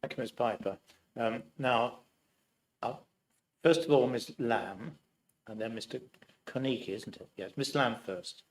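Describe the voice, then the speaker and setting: A man's voice: medium pitch, unhurried, long pauses. A man chairing a formal hearing, briefly and politely calling on speakers by name.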